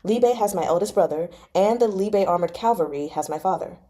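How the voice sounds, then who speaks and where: A tender and devoted young nobleman in a novel read aloud, voiced by a woman. The voice is soft-edged and mellow, with a restless, earnest romantic temper. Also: mid-range voice, brisk, close to an everyday voice. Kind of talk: expressive audiobook-style novel reading.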